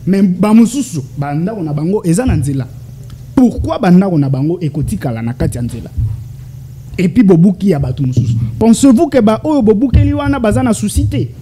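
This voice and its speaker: A man's voice: sits high, expressive, fairly quick, mellow, slight background noise. A Congolese man with a Congolese French accent, a political analyst answering interview questions with rhetorical questions and stammered repeats.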